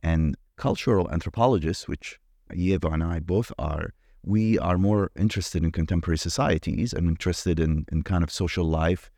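A middle-aged man from Iraq speaks in a strikingly deep voice. He answers carefully and hesitantly as a guest, circling back with fillers. The sound is dry and close. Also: normal speed, vocal fry, dark tone, no room sound. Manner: hesitant, many fillers and restarts. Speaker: guest, anthropology professor. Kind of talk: thoughtful interview answers.

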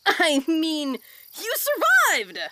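An actress playing a little talking sapling in a fantasy audio drama, sarcastic and cheeky, with a wry manner. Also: female voice, very high voice, huge pitch swings, extremely slow, sharp tone, heavily acted. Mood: surprised, snarky. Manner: wry, snarky.

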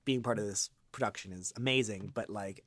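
A man's voice: lowish voice, brisk, a bit bright, no echo. Man in a dry-sounding, rambling casual interview. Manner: hesitant and rambling, often trailing into asides. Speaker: voice actor, interview guest. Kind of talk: casual, unscripted interview chat.

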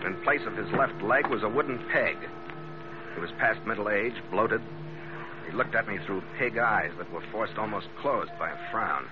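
An actor playing a tough ship's skipper, clipped and hard-boiled, in 1940s radio drama style. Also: male voice, lowish voice, moderate pace, lightly acted. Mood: hard-boiled narrating. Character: tough, terse male ketch skipper narrating his log. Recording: dull vintage radio recording with music.

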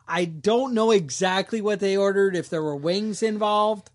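A man co-hosting a podcast, telling a story in casual chat with a wisecracking, lively manner and quick put-on voices. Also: high voice. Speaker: podcast co-host.

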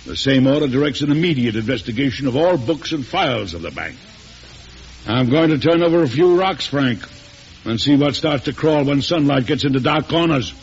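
An actor playing a proud, stubborn older American president in a folksy, emphatic old radio drama style. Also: male voice, mid-range voice, animated, a little fast, lightly acted. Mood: firm. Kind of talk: 1940s radio drama acting, narrating and playing. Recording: hissy, dull old radio recording.

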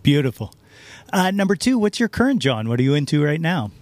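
A man's voice: a little high, big pitch swings, a little fast, darkish tone, dry recording. A man hosting a podcast, asking questions in a casual, conversational interview style. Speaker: podcast host.

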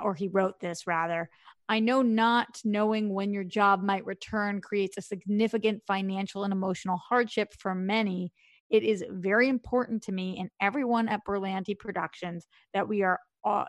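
Woman podcast cohost, chatty, lots of ums, mid pitch, dry close sound. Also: fairly even intonation, normal speed, no room sound. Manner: chatty, reads quotes aloud with fillers. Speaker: podcast co-host. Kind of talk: casual chat about entertainment news.

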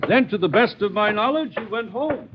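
An actor playing a curious, gruff colonial tavern keeper with folksy old-fashioned phrasing in a 1950s radio drama. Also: male voice, sits high, expressive, normal speed. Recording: noisy muffled 1950s radio recording with clicks.

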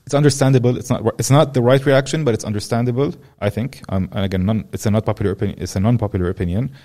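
A man's voice: mid-range voice, racing, mellow. A young man from Jordan hosting a podcast interview, talking in a casual, rambling way and swearing freely. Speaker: podcast host.

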